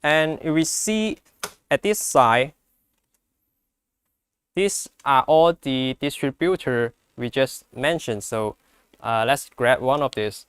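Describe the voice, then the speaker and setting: A man who speaks English as a second language gives an unscripted product demonstration, explaining step by step in a halting way. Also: medium pitch, fairly bright tone, smooth. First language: not English. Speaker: product presenter.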